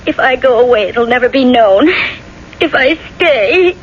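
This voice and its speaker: An actress playing a frightened, evasive wife in a 1970s radio melodrama, hesitant and fretful. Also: female voice, sits high, animated, a little slow. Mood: anguished. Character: frightened, evasive middle-class wife hiding a secret. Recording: muffled, hissy 1970s radio broadcast.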